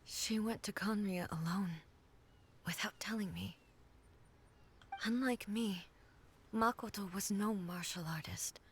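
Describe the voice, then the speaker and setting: A woman playing a grave, grieving, ageless warrior ruler in a game, speaking softly and formally in measured phrases. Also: mid-range voice, lightly acted. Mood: somber, grieving. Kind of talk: dramatic game voice acting. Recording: noisy game stream capture.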